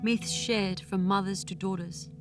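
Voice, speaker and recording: A woman's voice: medium pitch, steady tone, unhurried, loud music behind the voice, lightly acted. A woman playing a probing, cutting chorus voice in a theatrical audio tragedy. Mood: solemn. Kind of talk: dramatic theatrical audio play.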